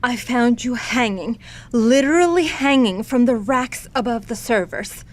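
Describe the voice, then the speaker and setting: An actress playing a gentle, shaken survivor in an audio drama, speaking softly, consoling and somber. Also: female voice, fairly high pitch, measured pace, natural voice. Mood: shaken, urgent. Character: gentle, shaken woman survivor tending an injured friend.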